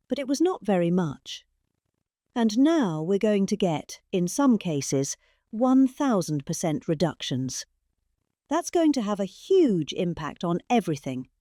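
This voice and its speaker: A middle-aged woman with a British accent reading a political speech in a calm, measured way, with long pauses and heavy emphasis. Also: mid-range voice, no echo, studio quality. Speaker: reader.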